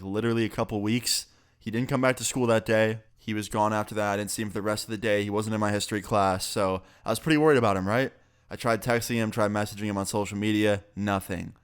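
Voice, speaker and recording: A man's voice: on the low side, steady tone, fast talker, clear, resonant tone. A young man, a bit sick, telling a casual, profane story on his vlog. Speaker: YouTuber.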